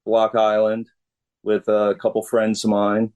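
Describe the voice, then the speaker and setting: A middle-aged man with a lowish voice chats as an interview guest. He takes his time, speaking very slowly in a laid-back, halting and plain-spoken way. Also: monotone, darkish tone, clear, resonant tone. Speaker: musician guest. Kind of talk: casual interview chat.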